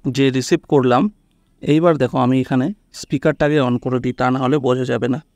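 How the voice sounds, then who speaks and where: A man's voice: mid-range voice, steady tone, moderate pace, a little mellow, no echo. Man giving a close, dry phone tutorial. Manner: instructional, repeatedly checking the listener follows. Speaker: tech tutorial host. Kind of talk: casual step-by-step phone tutorial.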